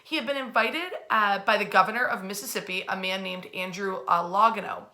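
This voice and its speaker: A woman hosting an informal history storytime from her bed at home, chatty and animated, using colloquial phrasing as she speaks to an online audience. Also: mid-range voice, fairly quick. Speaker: host of a nightly history storytime.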